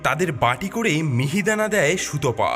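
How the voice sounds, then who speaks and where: A man narrates a scripted cartoon tale. His voice is slightly high and bright, with lively rises and falls. Soft music plays underneath. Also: moderate pace.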